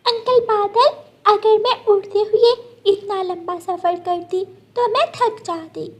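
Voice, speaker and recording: A woman's voice: very high-pitched, steady tone, takes it slowly, a little mellow, pitched above the performer's own voice. A woman voicing a small young girl bird in a children's cartoon, childlike and plaintive, eager and easily frightened. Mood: timid, earnest. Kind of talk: Hindi children's cartoon story acting.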